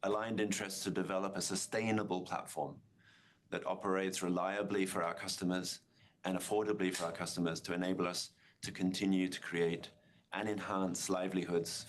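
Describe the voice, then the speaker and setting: A man speaking as a company executive, giving measured, formal answers on an earnings call. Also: low voice, a little hiss or hum.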